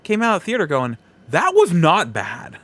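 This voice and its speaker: A man hosting a solo podcast, giving casual opinion commentary in a halting, emphatic way, repeating phrases for effect. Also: sits high, huge pitch swings, a bit bright. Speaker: podcast host.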